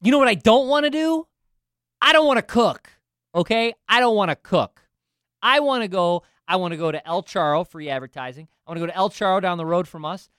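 A man's voice: sits high, expressive, brisk, fairly bright tone, smooth, no echo, studio quality. A man in finance telling a self-deprecating story in casual chat, with lots of restarts and asides. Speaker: finance professional.

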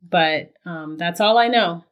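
A woman from Ohio co-hosting a podcast, her voice raspy right now, chatting casually and laughing often. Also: on the low side, takes it slowly, lo-fi sound. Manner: laughs often, says "girl". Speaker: podcast co-host. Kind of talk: casual chat.

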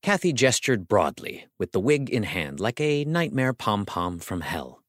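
A man's voice: on the low side, sing-song, measured pace, no echo, clean studio sound. A man narrating a scripted comic novel as a dramatic audiobook reading.

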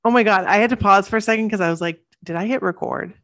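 A woman in her thirties co-hosting a podcast, chatting eagerly with quick asides, sniffly from the cold. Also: medium pitch, a bit bright. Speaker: podcast co-host. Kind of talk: casual chat.

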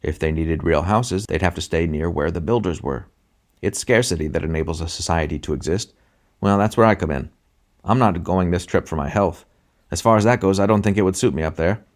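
A man's voice: low voice, fairly quick, lightly acted. A man voicing a shrewd, scheming passenger who talks in a confiding, businesslike way in an audiobook. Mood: scheming, eager. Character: shrewd, scheming, furtive intelligent male passenger.

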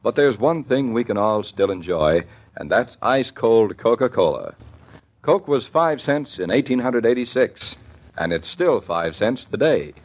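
An American man announcing in a polished, measured 1940s radio style, reading scripted sponsor copy. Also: medium pitch, big pitch swings, slightly rough. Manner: polished, measured sales delivery. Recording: dull old radio recording.

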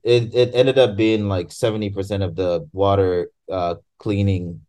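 A man's voice: low voice, fairly even intonation, normal speed, mellow. A man from Tanzania chatting casually and animatedly over a video call, laughing and swearing. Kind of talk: casual chat.

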